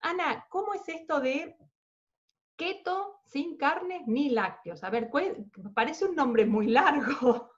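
A woman's voice: sits high, expressive, slow pace. Woman host with a slightly muffled voice. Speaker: interview host. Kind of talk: hosting an interview. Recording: dull lo-fi sound.

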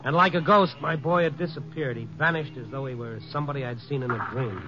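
An actor playing a wry, world-weary newspaper reporter in a 1950s radio noir style, with wisecracking delivery. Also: male voice, medium pitch, quick pace, soft background music, natural voice. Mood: tense, narrating. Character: wry, hard-boiled night-beat newspaper reporter. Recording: muffled vintage 1950s radio recording.

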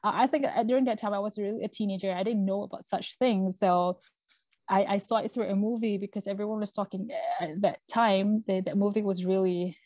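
A woman from Singapore with a Singaporean accent, talking casually as an interview guest, often halting to find her words. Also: medium pitch, steady tone. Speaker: podcast guest, family trauma coach. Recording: roomy, slightly dull audio.

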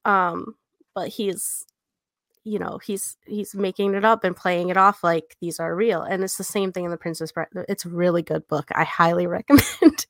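A woman's voice: lowish voice, fairly even intonation, normal speed, no room sound, studio quality. A woman co-hosting a podcast, chatting informally and enthusiastically about books. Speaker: podcast co-host, book editor. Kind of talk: casual chat about books.

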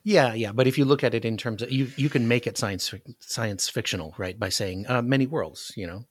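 A man's voice: mid-range voice, brisk, husky, no room sound, studio quality. A man appearing as a podcast guest, chatting casually about books. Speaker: podcast guest, fellow science fiction podcaster. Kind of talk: casual book chat.

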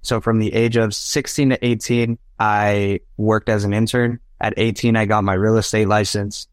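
A young man whose first language is Spanish, talking through his life story in an earnest, anecdotal way. His voice sits a little low, and the recording is close and dry with no room sound. He keeps looping the listener in as he goes. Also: little pitch movement, normal speed, dry recording. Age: young adult. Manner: earnest, anecdotal, often checks in with "right?". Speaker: podcast guest. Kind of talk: casual interview storytelling.

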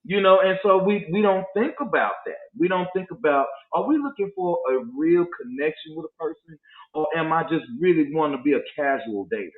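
A man's voice: fairly high pitch, big pitch swings, a little fast. A man in his forties talking casually about dating, emphatic and preachy. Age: 40s. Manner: preachy, emphatic, slips into mock voices to make a point. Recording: echoey, tinny phone-like sound.

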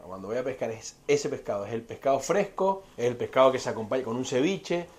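A man's voice: medium pitch, expressive, fast talker. A man in his thirties with an Argentine Spanish accent, talking about wine in an easy, enthusiastic way in an interview. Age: 30s. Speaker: winery representative. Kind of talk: casual interview chat.

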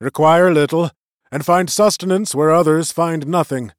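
A man's voice: fairly high pitch, moderate pace, dry recording, clean studio sound, voice pushed up, natural voice. A man voicing a terse, hardy male creature from the frozen north.

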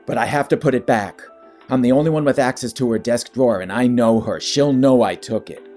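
An actor playing a fretful, gossipy office assistant in a satirical audio drama, anxious in manner. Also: male voice, mid-range voice, brisk, darkish tone, faint music behind, close to an everyday voice. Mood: worried. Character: nervous, fretful office assistant named Franklin.

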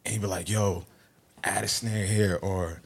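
A man co-hosting a podcast, telling stories in casual chat, often trailing off quietly. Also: fairly even intonation, unhurried, creaky, slightly rough. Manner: laid-back storytelling, trailing into low mumbles. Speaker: podcast co-host.

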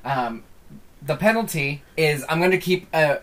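A man's voice: fairly high pitch, expressive, fairly bright tone. Teasing man laying down podcast rules. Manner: teasing, deliberate rule-setting. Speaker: podcast co-host. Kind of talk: casual podcast banter.

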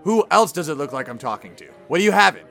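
A man playing a gruff bartender in a radio drama, brusque and sneering. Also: a little high, animated, fast talker, fairly bright tone, faint music behind. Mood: impatient. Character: gruff, mocking male tavern bartender.

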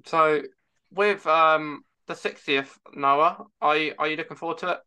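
Bright, crisp-voiced man hosting a chat. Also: on the high side. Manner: halting, with repeated words and false starts. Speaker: podcast host interviewing a guest. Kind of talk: casual fan chat.

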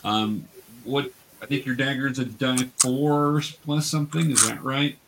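A man's voice: mid-range voice, fairly even intonation, unhurried, noisy background. A man acting as game master, casually and patiently explaining tabletop rules and encouraging players.

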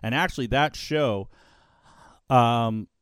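Loud-voiced guy who does ring announcing, chatting on a podcast, dry close sound, gets animated and trips over his words. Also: mid-range voice, slow, clear, resonant tone, no echo. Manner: animated storytelling, repeats words when excited. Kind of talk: casual podcast chat.

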